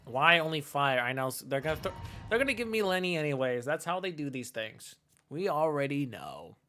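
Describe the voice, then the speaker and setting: A young male game streamer with a slightly high, bright and forceful voice. He talks casually in slang, jokey and reactive, with faint music behind him. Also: big pitch swings, brisk. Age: 20s. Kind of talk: casual live game commentary.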